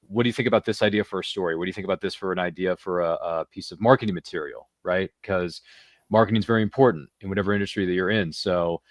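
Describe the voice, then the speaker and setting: A man's voice: sits low, fast talker, no echo, studio quality. A man hosting a podcast, chummy and jokey, bantering casually as he interviews. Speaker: podcast host.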